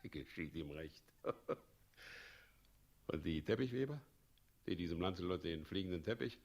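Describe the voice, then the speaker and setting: An actor playing a smug, menacing tyrant president in a 1960s German radio play, pompous, mocking and commanding. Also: male voice, sits low, lively intonation, moderate pace, creaky, a little mellow. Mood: smug prying.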